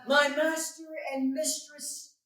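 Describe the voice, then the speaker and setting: A woman's voice: high-pitched, flat delivery, takes it slowly, fairly bright tone. A woman playing a weary, cheeky comic servant in a staged Shakespeare reading, exclaiming theatrically. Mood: cheeky storytelling. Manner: declamatory, exclaiming. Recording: very echoey room from far off.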